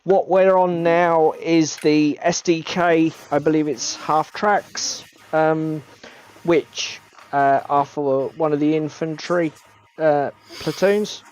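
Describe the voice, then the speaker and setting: A man with a strained, gravelly voice, co-hosting a casual hobby chat and poking fun at himself. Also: on the high side, steady tone, slow pace, darkish tone, a little hiss or hum. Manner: chatty, self-deprecating, swears casually. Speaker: co-host of a tabletop hobby stream.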